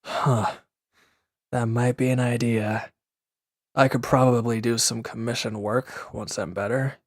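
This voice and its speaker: A man acting a gruff, guarded former villain in a roleplay audio drama, curt and grumbling, reluctant to lean on anyone. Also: mid-range voice, fairly even intonation, a little slow, no room sound, clean studio sound. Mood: matter-of-fact, insistent. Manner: curt, grumbling, defensive, with sighs and hesitant breaks. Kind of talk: scripted roleplay audio drama addressed to the listener.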